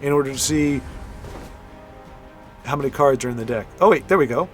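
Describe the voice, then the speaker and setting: A man's voice: mid-range voice, a little fast, faint music behind. A man giving casual play-by-play commentary on card game matches, rambling into chatty asides and correcting himself as he goes.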